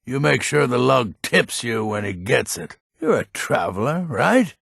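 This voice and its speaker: A proud, cranky old Nordic townsman from a fantasy game. His voice is deeply gravelled and very husky, swinging widely in pitch. He talks in a gruff, blunt, folksy way. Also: sing-song, measured pace, a bit bright, exaggerated voice. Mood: bitter, grumbling. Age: older. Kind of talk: fantasy video game character acting.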